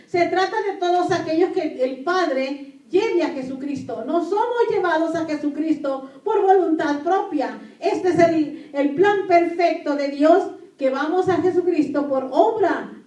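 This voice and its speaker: Very high-pitched woman preacher in an echoey room. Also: a little mellow. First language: Spanish. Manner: emphatic, repeats key phrases and puts questions to listeners. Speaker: pastor preaching to a congregation. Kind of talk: sermon with scripture reading. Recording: echoey room, distant microphone.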